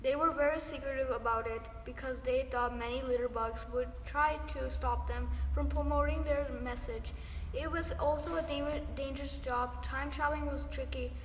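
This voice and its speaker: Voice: high voice, fairly even intonation, normal speed, a bit bright. A young teenager reading a scripted story aloud as a student narrator. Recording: echoey hall, distant, noisy background.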